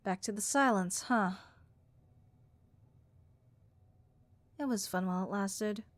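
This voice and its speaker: A snarky harpy airline copilot, played by a woman, chatty and thoroughly bored. The voice is raspy with vocal fry, mid-pitched and recorded very dry. Lines come out as overdramatic, deadpan grumbling in a comedy audio roleplay. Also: no echo, lightly acted. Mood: chatty, teasing. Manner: overdramatic, deadpan complaining with drawn-out emphasis. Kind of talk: comedic audio roleplay monologue addressed to listener.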